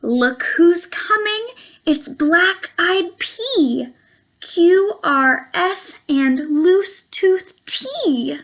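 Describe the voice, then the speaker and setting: Woman, young adult, children's storytime presenter, high voice, very slow pace, sing-song, playful. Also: expressive, fairly bright tone. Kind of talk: reading a picture book aloud to small children.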